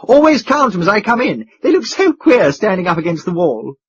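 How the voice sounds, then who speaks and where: A man voicing an excitable, boyish young duke who talks eagerly. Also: high-pitched, brisk, slightly rough, no echo, muffled recording, pitched above the performer's own voice, heavily acted. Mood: excited.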